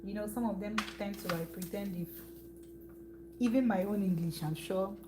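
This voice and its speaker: A woman's voice: medium pitch, moderate pace. Chatty Nigerian woman, noisy kitchen. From eastern Nigeria. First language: Igbo. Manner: chatty, laughs often, talks while working. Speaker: cooking livestream host. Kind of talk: casual chat while cooking. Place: home kitchen. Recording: noisy room with hum.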